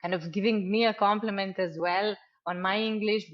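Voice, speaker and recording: A woman's voice: medium pitch, fairly even intonation, normal speed, dull audio. A woman with a Slovene accent hosting a podcast interview, effusive and friendly, with many fillers. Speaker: podcast host.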